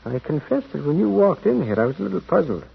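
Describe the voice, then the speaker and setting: An actor playing a gentle, shrewd priest detective, hesitant and deliberate, quietly moralizing in 1940s American radio drama style. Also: male voice, fairly high pitch, sing-song, vocal fry, husky, heavily acted. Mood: quietly knowing. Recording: muffled vintage radio broadcast.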